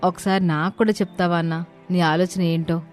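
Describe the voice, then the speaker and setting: Female actor as a trusting village woman for a cartoon folk tale, respectful and earnest, lowish pitch, music underneath. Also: level tone, fairly quick, darkish tone, lightly acted. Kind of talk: animated moral story dialogue.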